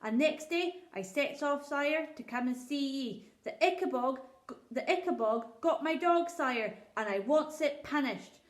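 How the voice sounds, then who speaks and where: A woman's voice: sits high, lively intonation, unhurried, pitched above the performer's own voice. A woman voicing an old male shepherd who speaks in a rustic dialect and pleads earnestly. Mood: fearful. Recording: roomy echo.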